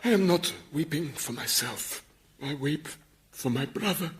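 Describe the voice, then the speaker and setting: An actor playing an earnest, gullible young would-be martyr in a radio comedy, plaintive and hesitant. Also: male voice, a little high, lively intonation, a little slow, slight background noise. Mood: tearful pleading. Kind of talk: radio sitcom acting.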